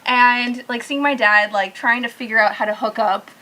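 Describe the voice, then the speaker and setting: A woman's voice: on the high side, fairly even intonation, moderate pace, sharp tone, poor audio. A woman chatting casually as a podcast guest, telling anecdotes in a playful, joking way. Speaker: podcast guest, video game company marketing staffer.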